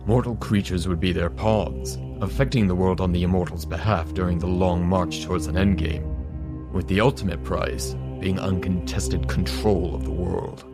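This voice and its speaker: A man playing a scholarly professor gravely telling ancient lore in an audio drama, measured and erudite. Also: deep voice, steady tone, music in the background. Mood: grave, intrigued. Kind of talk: fan audio drama acting.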